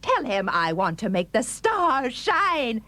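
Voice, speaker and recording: A woman's voice: a little high, huge pitch swings, takes it slowly, bright, forceful tone, no echo, heavily acted. A woman playing a demanding, imperious wife and emperor in a dramatised folk tale, bossy and insistent.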